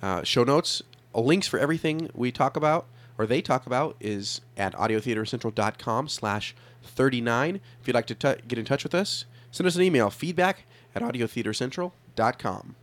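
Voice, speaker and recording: A man's voice: medium pitch, lively intonation, moderate pace. Man hosting a podcast, easygoing delivery. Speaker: podcast host. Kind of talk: casual scripted podcast intro.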